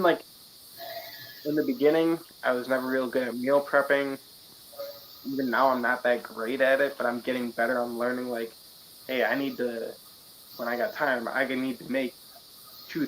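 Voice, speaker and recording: Laid-back fighter, casual chat. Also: male voice, medium pitch, fairly even intonation, moderate pace, long pauses, slight background noise, poor audio. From Wisconsin. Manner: laid-back, plainspoken, frequent fillers. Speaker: interviewee, mixed martial artist.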